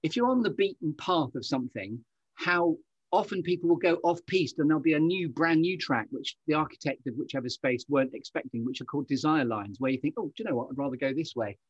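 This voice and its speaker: A man's voice: a little high, expressive, quick pace, husky, no room sound, lo-fi sound. A man hosting a podcast interview in an effusive, chatty way, with wordy, meandering asides. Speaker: podcast host.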